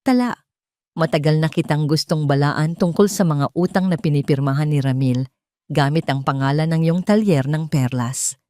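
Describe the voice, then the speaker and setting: A woman voicing a calm, protective male lawyer in an audio drama, measured, formal and earnest. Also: deep voice, dry recording, studio quality, close to an everyday voice. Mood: grave, urgent. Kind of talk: Tagalog audio drama dialogue.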